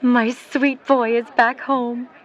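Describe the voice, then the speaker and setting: A woman's voice: a little high, little pitch movement, slow, highs cut off. An actress playing a doting mother of a grown son in a period radio drama, tender, genteel and gushing. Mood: tender, joyful.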